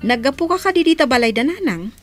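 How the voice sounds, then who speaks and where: A woman's voice: high-pitched, expressive, fast talker, faint music behind. A woman playing an inquisitive, sympathetic aunt in a radio drama, with an Ilocano accent.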